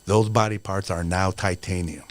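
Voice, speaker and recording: Brief: forties male pro wrestler, slightly low voice, heartfelt, rambling pep talk. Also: steady tone, normal speed. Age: 40s. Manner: earnest, motivational, rambling with many fillers. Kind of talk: casual podcast interview.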